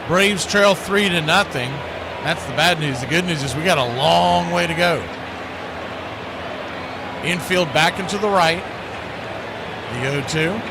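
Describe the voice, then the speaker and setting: A man's voice: sits high, big pitch swings, takes it slowly, vocal fry, pauses often, noisy background. A man doing live radio baseball play-by-play in punchy, folksy short phrases. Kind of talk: live radio sports commentary.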